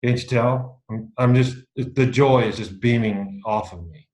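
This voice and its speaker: A middle-aged man with a low voice presenting a leadership course to a group in a meeting room, casual and dryly self-mocking, often restarting his sentences. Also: unhurried, a little mellow. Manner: dry self-mocking humor, frequent restarts. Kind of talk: casual teaching talk to a small group. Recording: echoey room.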